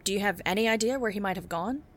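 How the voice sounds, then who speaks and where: A woman's voice: mid-range voice, fast talker, lightly acted. A woman playing a wry hard-boiled private eye. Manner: wry, deadpan noir narration. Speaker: private detective narrator. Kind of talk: film noir narration.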